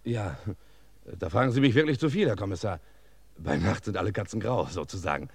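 An actor playing a long-winded, self-important cook giving a hesitant witness account in a German radio crime play. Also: male voice, lowish voice, expressive, brisk, vocal fry, a little mellow, husky, no room sound, heavily acted. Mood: rambling. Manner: rambling, circumstantial, hesitant.

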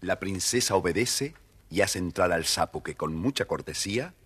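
Children's record narrator: man, very low register, husky, expressive storytelling. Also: normal speed.

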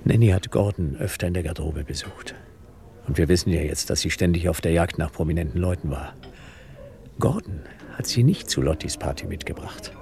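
An actor in a German radio drama playing a determined, controlled man suspected of murder, questioning tersely in a husky, gravelly voice. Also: low voice, fairly quick, warm tone. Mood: urgent, resolved.

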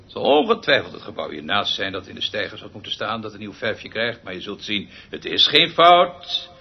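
An actor playing a pompous, eccentric male orchestra conductor in a radio drama, theatrical and pedantic, speaking deliberately with pregnant pauses. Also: on the low side, big pitch swings, gravelly, dull audio, a little hiss or hum. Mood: indignant, aggrieved.

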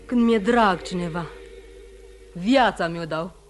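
A woman's voice: lowish voice, a little hiss or hum, close to an everyday voice. An actress playing a devoted, simple and tender young singer woman in an old radio theatre drama. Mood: tender, coaxing. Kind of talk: mid-20th-century radio theatre acting.

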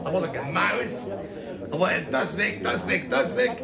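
A man's voice: sits high, lively intonation, unhurried, gravelly. A man from East Germany grumbling in casual chat, blunt and repetitive. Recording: distant mic, crowd noise behind.

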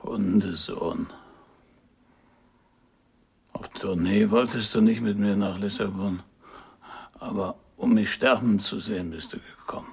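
An actor playing a bitter, dying old jazz trumpeter with a weak, breathy, husky voice in a radio drama. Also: male voice, lowish voice, a little slow, pauses often, a little mellow, heavily acted.

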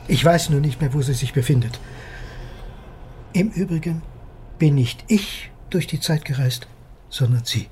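A man's voice: on the high side, measured pace, long pauses, darkish tone, a little hiss or hum, natural voice. An actor in a radio play playing an eccentric, arrogant man obsessed with time travel, lecturing with impatient condescension. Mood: smug, lecturing. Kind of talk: German radio drama dialogue.

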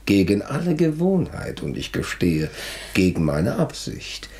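A man's voice: lowish voice, big pitch swings, measured pace, darkish tone. A man playing a besotted, effusive marquis and ardent seducer in a radio play. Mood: ardent, amused. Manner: rapturous, effusive. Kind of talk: 1970s German radio play acting.

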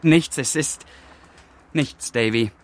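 Male actor as young gentleman, medium pitch, polished, nonchalant, condescending, brooding underneath, radio drama. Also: slow pace, lightly acted.

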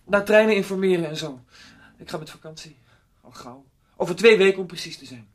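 A man's voice: high-pitched, lively intonation, brisk. An actor playing a timid, evasive man who stammers hesitantly in a radio play.

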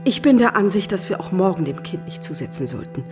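A woman playing a gentle, submissive wife and worried mother in a radio drama, speaking softly and deferentially. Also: fairly low pitch, sing-song, a little fast, over music. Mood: anxious, gentle. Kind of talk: German radio play acting.

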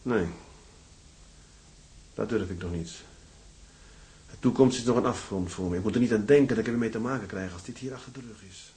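An actor playing a brooding, stubborn sea captain in a radio drama, terse and grim. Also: male voice, on the low side, fairly quick, long pauses, warm tone, natural voice.

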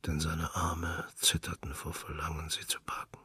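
A man reading literary narration for a radio drama in a hushed, intimate, gravelly and breathy voice. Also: rumbling low, monotone, normal speed, no echo, distant mic.

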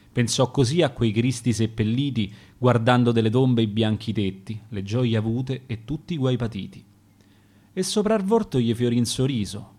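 A man with a Roman accent reads dialect sonnets aloud. His delivery is rhythmic and expressive, and his mid-pitched voice moves in lively swings. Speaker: poet and reader. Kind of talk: dialect poetry reading.

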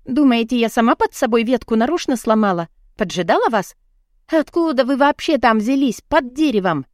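A woman's voice: on the high side, animated, normal speed, no room sound, studio quality, voice pushed up, lightly acted. A woman voicing a flustered, indignant young woman in an audiobook, wordy and defiant, chattering in protest. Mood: flustered, apologetic. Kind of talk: audiobook character dialogue.

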